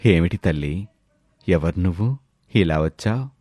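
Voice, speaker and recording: A man voicing a calm, authoritative ashram holy man with a solemn, reassuring manner, in an animated moral story. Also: deep voice, measured pace, no echo, studio quality. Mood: calm, inquiring.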